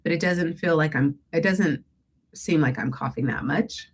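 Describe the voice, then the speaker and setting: A woman's voice: sits low, moderate pace, slight background noise. A woman coaching listeners on goals and breathing, slightly stuffy from a cold, rambling and self-correcting and often asking "right?". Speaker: declutter coach hosting a call-in show. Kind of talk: casual coaching talk with breathing guidance.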